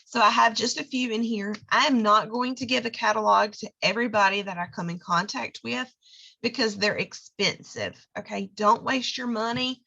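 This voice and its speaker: Hoarse chatty woman, bright voice. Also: on the high side, moderate pace, highs cut off. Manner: chatty, lots of fillers and "okay?" check-ins. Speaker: direct sales consultant. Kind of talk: casual how-to talk.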